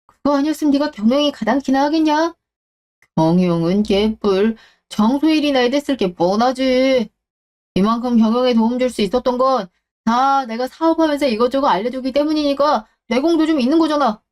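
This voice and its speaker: A woman's voice: sits high, brisk, darkish tone, lightly acted. A woman voicing a smug, shameless middle-aged ex-husband in a radio drama, blustering and talking down to people. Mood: indignant, blustering. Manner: blustering, condescending, coarse. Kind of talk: Korean radio-drama story acting.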